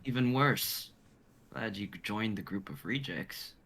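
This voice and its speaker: Man playing a haughty, bright-voiced rival knight. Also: fairly low pitch, lively intonation, measured pace, exaggerated voice. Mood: sneering. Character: haughty male rival fantasy knight, sneering and theatrical. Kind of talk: amateur dramatic script reading.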